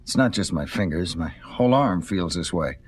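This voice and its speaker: An actor playing an injured astronaut colonel in a 1970s audio drama, talking in a gruff, bitter and defiant way. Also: male voice, deep voice, lively intonation, normal speed. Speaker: lead character.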